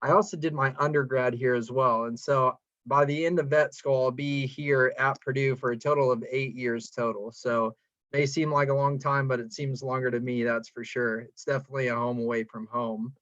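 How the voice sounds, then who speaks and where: A young man from southern Indiana, a veterinary student, talking in a relaxed, unhurried way on a video call. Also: medium pitch, no room sound. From Evansville, Indiana, US. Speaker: fourth-year veterinary student. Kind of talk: casual panel talk on a video call. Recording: dry, muffled video-call audio.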